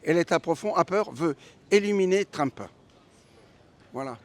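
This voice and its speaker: A man giving a spontaneous opinion in an interview, halting and repeating his phrases as he goes. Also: fairly high pitch, lively intonation. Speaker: passer-by being interviewed.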